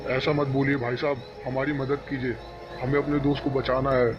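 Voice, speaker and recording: A man's voice: medium pitch, little pitch movement, brisk, natural voice. A man voicing an earnest, urgent young man who leads his friends in a cartoon horror story. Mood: pleading. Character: guilt-ridden, pleading young man leading his friends. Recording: dull lo-fi audio under music.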